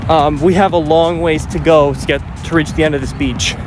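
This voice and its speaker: A man's voice: fairly high pitch, steady tone, a little fast, noisy background. Chatty young-sounding man filming himself. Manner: chatty, upbeat, rambling with fillers and restarts. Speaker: vlogger. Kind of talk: casual on-camera step updates.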